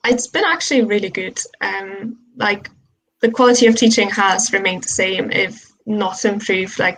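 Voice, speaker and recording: A woman's voice: mid-range voice, gravelly, a bit bright, low-quality recording. A young woman from Germany, a student giving thoughtful, hesitant answers with many ums. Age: young adult. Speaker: undergraduate student panelist. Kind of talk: casual Q&A answers.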